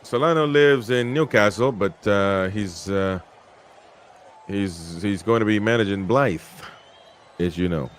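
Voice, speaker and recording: A man's voice: fairly low pitch, a little slow, slight background noise. Host: Peru-born man, casual live match chat, reads comments from viewers.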